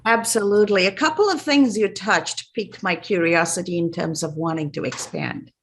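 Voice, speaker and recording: A woman from Sri Lanka hosting a podcast interview, speaking in a measured, empathetic and reflective way. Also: on the low side, a little hiss or hum. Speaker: podcast host. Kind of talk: interview conversation.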